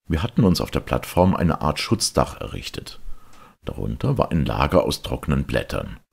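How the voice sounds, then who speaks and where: A man reading a literary audiobook as a narrator, with measured, dramatic storytelling delivery. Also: a bass voice, big pitch swings, vocal fry, slightly rough. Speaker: audiobook reader.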